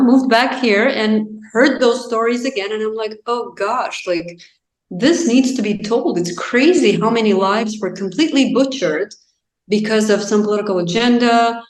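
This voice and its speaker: A woman, speaking English as a later language as an interview guest. She thinks aloud in a reflective way, using plenty of "you know" and "like" as she goes. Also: a little high. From Azerbaijan. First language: Azerbaijani. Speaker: guest author. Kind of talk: casual interview chat. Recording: roomy echo.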